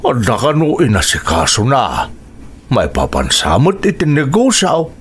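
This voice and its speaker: An actor with an Ilocano accent playing an older, talkative village husband in a radio drama. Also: male voice, medium pitch, huge pitch swings, measured pace, breathy edge, heavily acted. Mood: chatty.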